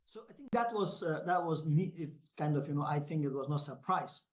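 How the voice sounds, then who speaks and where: A man speaking off the cuff as a panel guest, halting and often saying "you know". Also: fairly high pitch, lively intonation. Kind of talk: spontaneous panel discussion.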